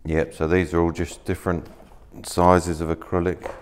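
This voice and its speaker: A man in his thirties casually showing materials at a workshop table, talking in a relaxed, rambling way with plenty of fillers and restarts. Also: low-pitched, moderate pace, a little hiss or hum. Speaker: maker demonstrating laser-cut materials. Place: workshop, indoors, at a work table.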